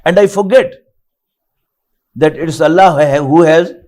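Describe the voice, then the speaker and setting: A man's voice: fairly high pitch, unhurried, darkish tone. An older man from Pakistan, a native Urdu speaker with a Pakistani English accent, teaching an online Quran lesson, reciting Arabic verses and then explaining them phrase by phrase in English.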